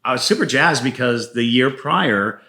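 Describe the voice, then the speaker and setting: A man's voice: medium pitch, lively intonation, moderate pace, sharp tone. A man talking casually as a guest playwright in an interview, telling stories and slipping into quoted lines. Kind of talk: casual interview talk about own writing.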